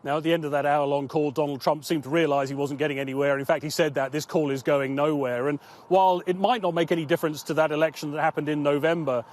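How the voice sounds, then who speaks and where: A man's voice: fairly high pitch, steady tone, quick pace, hardly any pauses, darkish tone. A man working as a news correspondent, giving a live explanatory report. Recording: outdoor report with clear background noise.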